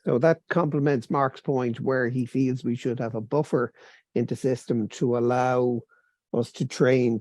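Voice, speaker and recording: A man's voice: mid-range voice, warm tone, no room sound. A man moderating a panel discussion in a conversational way.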